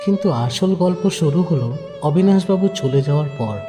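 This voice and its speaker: A man's voice: a little high, animated, normal speed. A man narrating a scripted audio drama in a dramatic storytelling style. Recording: long reverb under clear background music.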